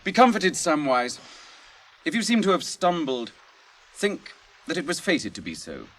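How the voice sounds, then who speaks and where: An actor in a radio drama playing a noble, grave captain, proud yet merciful, with formal, archaic, theatrical delivery. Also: male voice, on the high side, huge pitch swings, measured pace, fairly bright tone, exaggerated voice. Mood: gentle, solemn. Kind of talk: 1980s radio drama acting. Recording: radio drama with clear background noise.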